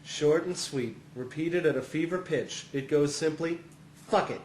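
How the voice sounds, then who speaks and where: A man with a somewhat high voice narrates a humorous essay. He reads scripted lines with open amusement, as if near a chuckle. The room is audibly echoey, with a light layer of noise. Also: measured pace, darkish tone. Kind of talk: scripted first-person comic narration.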